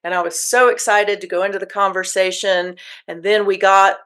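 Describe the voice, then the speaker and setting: A woman's voice: mid-range voice, level tone, normal speed, a bit bright, smooth. A woman hosting a podcast interview, chatty and enthusiastic, often restarting her sentences. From United States. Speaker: podcast host. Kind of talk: casual interview chat.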